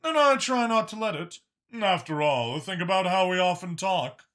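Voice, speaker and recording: Dragon politician guy narrating, lifted pitch, bright and crisp, patient but sure of himself, sci-fi story. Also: lively intonation, normal speed. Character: Mach, powerful male dragon senator, patient and self-assured.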